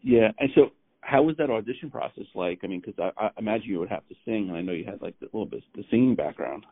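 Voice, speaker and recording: Laid-back male host, low gravelly voice, tinny phone sound. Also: lively intonation, dry recording. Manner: laid-back, halting, frequent short agreements. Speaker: interview host. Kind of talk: casual interview talk.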